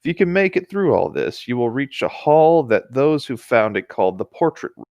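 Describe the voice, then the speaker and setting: A man's voice: mid-range voice, sing-song, moderate pace, dry recording, dull audio. A man reading a story aloud as co-host in a casual, conversational way, with dry asides.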